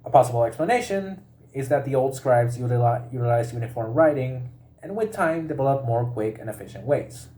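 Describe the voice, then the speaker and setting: A man with a medium-pitched voice reads a prepared educational text aloud. His delivery is halting, and he often stops partway into a word and says it again. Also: a little mellow.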